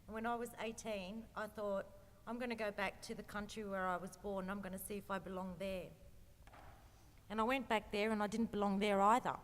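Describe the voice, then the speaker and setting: A woman's voice: mid-range voice, steady tone, a little fast, slight background noise. A woman from Egypt living in Australia, speaking reflectively as a panelist in an echoey hall. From born in Egypt, lives in Australia. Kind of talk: personal reflection in a panel discussion. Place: large indoor event hall. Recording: echoey hall public address sound.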